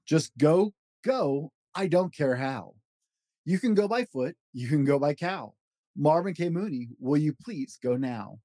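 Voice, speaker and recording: A man's voice: a little high, lively intonation, measured pace, dark tone, no room sound, clean studio sound. A man hosting a children's story podcast, reading aloud expressively with an emphatic, rhythmic delivery.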